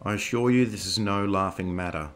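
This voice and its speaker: A man's voice: fairly quick, a bit bright, a little hiss or hum, natural voice. A man voicing a stern general presiding over a military hearing. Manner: booming, authoritative.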